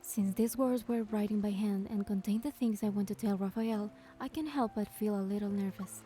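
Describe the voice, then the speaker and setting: An actress playing a gentle, affectionate young heroine, sweet and wistful, speaking and narrating in a romance game. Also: female voice, mid-range voice, moderate pace, slight background noise, natural voice. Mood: soft, dreamy. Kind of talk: romance game voice acting and first-person narration.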